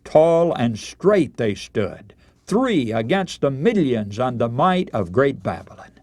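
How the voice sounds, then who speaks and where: A male radio preacher delivers a sermon as dramatic storytelling. His mid-pitched voice swings in animated, emphatic oratory, addressing listeners directly. The sound is close and dry, with very little room around it. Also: slow pace, dry recording.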